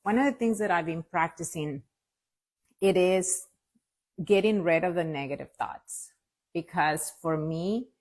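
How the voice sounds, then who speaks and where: Breathy woman host, thinking aloud. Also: fairly low pitch, measured pace, long pauses, a little mellow. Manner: reflective, thinking aloud with fillers. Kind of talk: casual interview talk.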